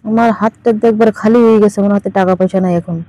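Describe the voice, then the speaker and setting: A woman's voice: mid-range voice, steady tone, very fast, dark tone, no echo, lightly acted. A woman with a Bangladeshi accent playing a teasing, demanding older aunt in an improvised phone-call drama. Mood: needling, matter-of-fact. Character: teasing, demanding older aunt who owns a fish farm.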